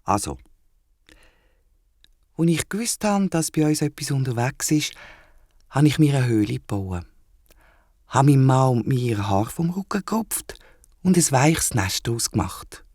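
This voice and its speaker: A storyteller voicing a brisk, matter-of-fact, gently chiding platypus mother with a Swiss German accent in a children's audio story. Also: mid-range voice, big pitch swings, no room sound, studio quality. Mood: explaining. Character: brisk, teasing platypus mother with newborn twins.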